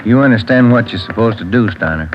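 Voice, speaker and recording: A steady detective partner, as a man acts him out, slightly low-voiced and easygoing in how he talks. The scene comes through a dull, lo-fi 1940s radio broadcast. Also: fast talker, noisy background, lightly acted. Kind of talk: 1940s radio drama acting.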